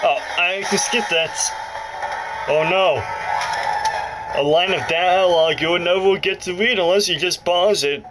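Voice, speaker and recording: A man giving casual gameplay commentary, talking to himself in a playful, mock-excited way. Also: high voice, measured pace, pauses often, poor audio. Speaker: let's play commentator. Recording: loud game music over the voice. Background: handheld game console's loud music and sounds.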